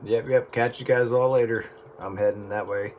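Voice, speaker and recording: A man's voice: fairly low pitch, fairly even intonation, moderate pace, darkish tone, muffled recording. A man, a radio hobbyist, chatting casually with others over a two-way radio. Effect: two-way radio transmission. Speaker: radio hobbyist who records the sessions. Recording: CB radio signal with faint static.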